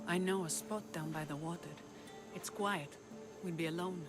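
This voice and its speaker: A woman playing a brave young rebel leader and huntress in a video game, haunted yet tender, speaking in an earnest, measured, dramatic way. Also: lowish voice, steady tone, close to an everyday voice. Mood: tender, intimate. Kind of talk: cinematic video game acting. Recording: cinematic music behind the voice. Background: music.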